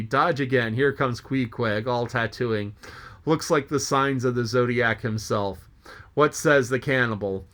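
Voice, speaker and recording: A man's voice: mid-range voice, a bit bright, natural voice. A man reading aloud as a jolly, joking sea mate who rambles and exclaims to himself.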